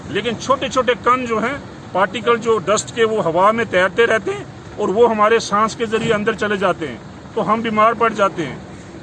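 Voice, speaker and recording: A man making an impromptu, insistent political complaint as a former legislator, repeating and listing his points. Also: extremely high pitch. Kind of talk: impromptu outdoor political statement to camera. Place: outdoors beside a road. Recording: clear outdoor noise with passing vehicles.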